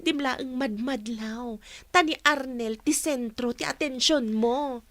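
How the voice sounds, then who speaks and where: A woman's voice: sits high, slow, fairly bright tone, dry recording. A woman acting a teasing, nosy matchmaking coworker in an Ilocano radio comedy drama, with a Filipino Ilocano accent.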